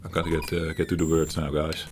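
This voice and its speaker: A middle-aged man hosting his retro gaming channel, talking while he plays in a halting, reflective way, searching for words and laughing at himself. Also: very deep voice, flat delivery, a little mellow, slight background noise. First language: Dutch. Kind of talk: reflective gameplay commentary.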